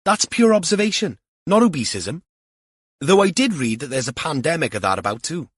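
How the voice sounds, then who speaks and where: A man's voice: on the high side, big pitch swings, normal speed, a bit bright, breathy edge, no echo, clean studio sound, pitched above the performer's own voice, heavily acted. A man voicing an earnest, rambling young male police officer in an audiobook. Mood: protesting. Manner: rambling, literal-minded, protesting.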